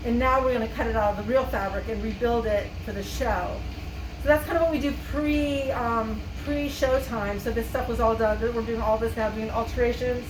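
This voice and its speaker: A woman with a slightly high voice talks as an interviewed costume designer. Her delivery is casual and explanatory. The recording is echoey, with clear background noise. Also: fairly even intonation, moderate pace. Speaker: costume designer and theater professor being interviewed. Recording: echoey, noisy room recording.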